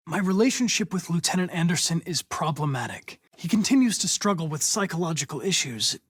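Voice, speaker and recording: A young male android detective from a video game, with an American accent and a notably high voice. He speaks in precise, measured, formal phrases. He comes across as polite and analytical, with an earnest determination underneath. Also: fairly bright tone. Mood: calm, formal.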